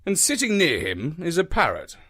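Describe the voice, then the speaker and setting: A man voicing a mild, kindly male companion who speaks slowly and hesitantly. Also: on the high side, huge pitch swings, a bit bright, slightly rough, pitched above the performer's own voice, heavily acted. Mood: puzzled. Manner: hesitant, fumbling, slow and polite.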